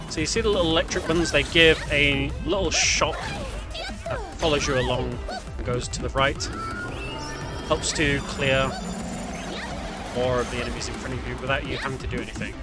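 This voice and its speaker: A man giving casual, explanatory commentary on a video game walkthrough, talking the viewer through as "we". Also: on the high side. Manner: explanatory, speaking as "we". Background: game music.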